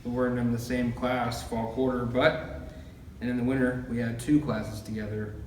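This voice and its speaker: A man's voice: mid-range voice, little pitch movement, moderate pace, natural voice. A man playing an obsessive, deluded young student on trial, with casual slangy college speech, in an amateur student film. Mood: defensive. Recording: echoey, distant mic, clear background noise.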